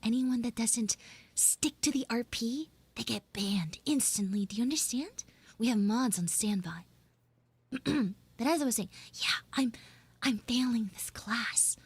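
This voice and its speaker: A woman live streaming an audio roleplay as a bossy, secretive tsundere schoolgirl, halting and teasing, slipping into hushed asides. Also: a little high, husky, dry recording. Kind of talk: live in-character audio roleplay with chat banter.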